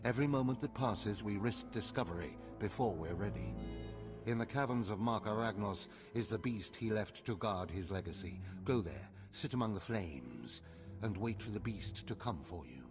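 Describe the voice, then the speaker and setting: An actor playing a stern, haughty older Sith overseer in a video game, clipped, lecturing and condescending. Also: male voice, fairly low pitch, expressive, normal speed, natural voice. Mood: grave, lecturing. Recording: loud music behind.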